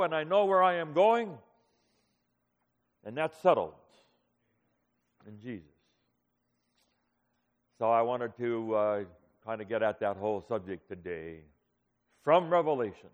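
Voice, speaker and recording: A man in his fifties, a pastor, preaching a sermon in a relaxed storytelling way with frequent pauses. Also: a little high. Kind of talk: sermon with personal stories. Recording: muffled hall recording with long reverb.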